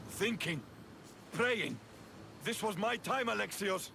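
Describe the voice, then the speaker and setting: A man's voice: high-pitched, expressive, slow, creaky, full character voice. An actor playing a jealous young male soldier, resentful and rising in anger, in game audio with ambient noise behind him. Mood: bitter.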